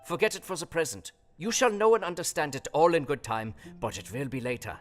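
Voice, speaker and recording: An actor playing a kindly, wise old Dutch professor in an audio drama, with quaint foreign phrasing and theatrical emphasis. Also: male voice, on the high side, brisk, faint music behind, lightly acted. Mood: measured, reassuring. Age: older.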